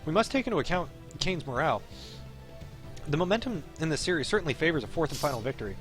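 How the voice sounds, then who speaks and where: A man's voice: a little high, expressive, fast, fairly bright tone. A man reading a visual novel aloud on a stream, in dramatic narration with an esports commentary flavour. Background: faint game music.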